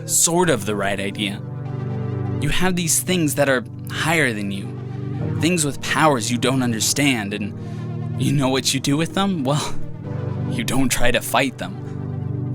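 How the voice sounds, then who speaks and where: A man playing a brooding young student loner. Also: mid-range voice. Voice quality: soft-spoken, reflective, hesitant. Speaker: student character. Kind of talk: audio drama monologue. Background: music.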